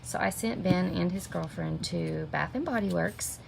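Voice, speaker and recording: A woman's voice: lowish voice, moderate pace, creaky. A middle-aged woman with a slight cold, chatting viewers through a craft project step by step and greeting them by name. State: sniffling with a minor cold. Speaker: stamping craft demonstrator. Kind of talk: live craft tutorial. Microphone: camera mic at desk. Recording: noticeable background noise.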